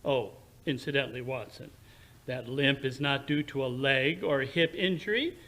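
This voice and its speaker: A man playing a brilliant, condescending Victorian English gentleman detective who lectures precisely and theatrically in 1940s radio drama style. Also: medium pitch, normal speed. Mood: confident deduction.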